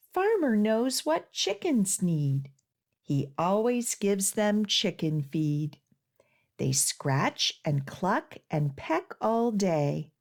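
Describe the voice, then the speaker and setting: Slow, expressive woman reading to children. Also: medium pitch. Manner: sing-song, deliberate delivery for young children. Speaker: children's librarian and storytime host. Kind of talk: children's storytime read-aloud.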